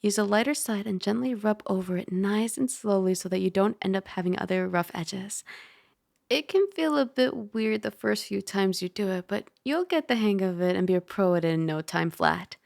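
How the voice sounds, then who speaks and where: A woman playing a caring, teasing dragon girl roommate in an ASMR roleplay, soft, chatty and gently instructive. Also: medium pitch, moderate pace, creaky, no echo, clean studio sound, natural voice. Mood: gentle, reassuring.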